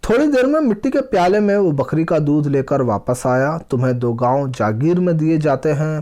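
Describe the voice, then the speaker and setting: Guy teaching kids from a textbook, really slow, reads it out and repeats words, normal pitched voice. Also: darkish tone. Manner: deliberate, pausing word by word, repeating phrases. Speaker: teacher explaining an Urdu textbook lesson for children. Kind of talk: lesson narration, reading aloud and explaining exercises.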